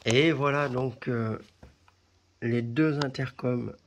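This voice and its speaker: A man presenting a product in a casual hands-on demonstration, talking haltingly with pauses as he handles things. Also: medium pitch, fairly even intonation, a little hiss or hum. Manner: halting, pausing while handling items. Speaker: video presenter reviewing a product.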